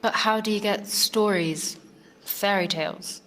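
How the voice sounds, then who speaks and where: A woman's voice: medium pitch, measured pace, a bit bright, a little hiss or hum, lightly acted, poor audio. An actress playing a curious, enigmatic young woman of the forest, terse and direct, in an audio drama. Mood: curious, guarded.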